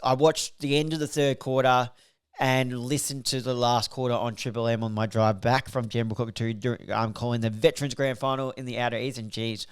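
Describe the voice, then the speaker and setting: Slightly croaky man. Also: medium pitch. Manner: rambling, filler-heavy, reels off stats. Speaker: podcast host reviewing football. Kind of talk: casual solo podcast review.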